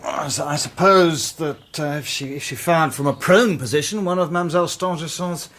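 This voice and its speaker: A man playing a proper, easily scandalised gentleman, the baffled companion of a detective, in a radio drama. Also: fairly high pitch, brisk, background noise, lightly acted. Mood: baffled, earnest.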